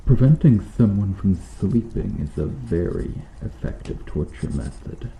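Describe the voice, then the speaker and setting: Man voicing a very dark, gravelly, menacing medieval knight. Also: low-pitched, full character voice. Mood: cold mockery. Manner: deliberate, sardonic, quietly menacing. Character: bitter, menacing medieval knight guarding a captive noble. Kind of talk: slow, intimate ASMR roleplay acting. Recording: roomy echo with faint noise.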